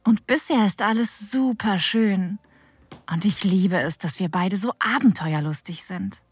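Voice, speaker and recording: A woman playing a flirtatious, adventurous narrator of an erotic audio drama, with a breathy voice that often drops toward a whisper. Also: medium pitch, a little slow. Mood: wry, fond. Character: flirtatious, adventurous woman telling her own erotic story. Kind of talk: intimate first-person scripted narration.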